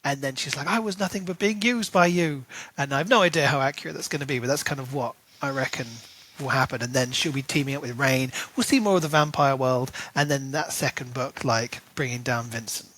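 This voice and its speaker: A man's voice: medium pitch, fairly quick, fairly bright tone, a little hiss or hum, low-quality recording. A man co-hosting a podcast, talking through a book in a chatty, rambling way full of "like" and "you know". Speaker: podcast co-host. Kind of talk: casual book discussion.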